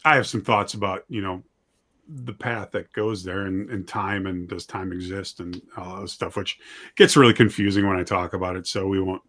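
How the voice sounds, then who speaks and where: Low-voiced man chatting as a guest. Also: steady tone, moderate pace, a bit bright. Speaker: guest, audio drama writer and director. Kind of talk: casual conversational interview.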